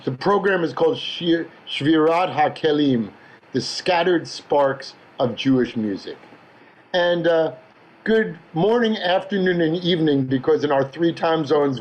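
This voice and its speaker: A man moderating an online panel discussion, introducing the event and guests with measured, emphatic phrasing. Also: a little high, dull audio. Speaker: discussion host.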